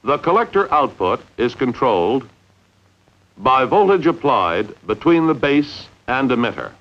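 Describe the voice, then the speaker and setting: A man narrating a 1950s instructional training film in a measured, deliberate way with emphatic enunciation. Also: medium pitch, big pitch swings. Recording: dull, lo-fi 1950s film soundtrack.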